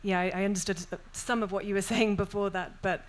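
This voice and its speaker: A young Welsh woman poet speaking thoughtfully on a festival panel, with many pauses and restarts. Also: medium pitch, fairly even intonation, rough recording. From Wales. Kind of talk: panel discussion and poetry reading.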